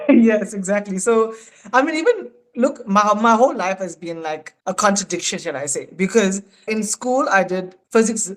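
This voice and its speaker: A South African man in his thirties, a podcast guest in casual, animated chat who laughs often. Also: high voice, moderate pace, low-quality recording. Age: 30s.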